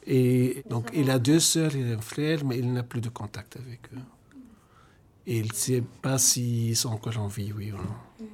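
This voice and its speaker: A man's voice: medium pitch, steady tone, measured pace, darkish tone, breathy edge. A man on the staff of a care home, relaying residents' answers in a plain, explanatory way with hesitant fillers. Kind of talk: interpreting between French and Dutch.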